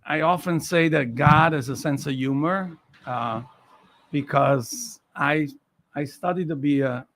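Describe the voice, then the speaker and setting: A man's voice: on the high side, unhurried, a bit bright. A man in his sixties from Naples with an Italian accent, telling personal stories as an interview guest and repeating phrases for emphasis. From Naples, Italy. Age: 60s. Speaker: interview guest, professional photographer. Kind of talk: personal storytelling in a casual interview.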